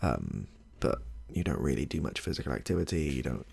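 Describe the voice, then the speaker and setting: Man acting husky, gravelly, low-voiced ASMR clinician. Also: sing-song, brisk, darkish tone, exaggerated voice. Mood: attentive, mildly flustered. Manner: hesitant, rambling, reassuring, murmurs to himself. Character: chatty, affable male medical intake clinician with a questionnaire. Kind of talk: soft-spoken ASMR roleplay.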